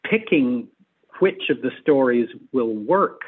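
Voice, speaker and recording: A man, likely middle-aged, speaking as an interview guest in a measured, hesitant way, picking his words. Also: mid-range voice, expressive, breathy edge, phone-quality sound. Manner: hesitant, measured, repeats words while choosing them. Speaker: theatre adapter and actor, guest. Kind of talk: interview conversation.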